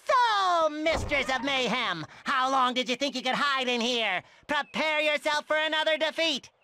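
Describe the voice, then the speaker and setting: A man's voice: very high-pitched, lively intonation, normal speed, pushed and bright, soft background music, heavily acted. A man voicing a naive, eager sea sponge in a cartoon video game, earnest, childlike and exaggerated. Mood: cocky challenge. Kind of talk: animated video game voice acting. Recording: noticeable background noise.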